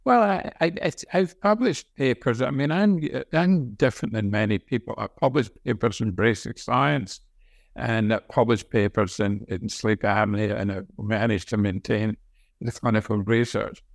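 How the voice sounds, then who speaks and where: Older Scottish man, low-pitched and halting. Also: gravelly, dry recording, studio quality. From Scotland. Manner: halting, repeats words as he talks. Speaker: interview guest, senior medical professor and researcher. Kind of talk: conversational interview answers, reminiscing.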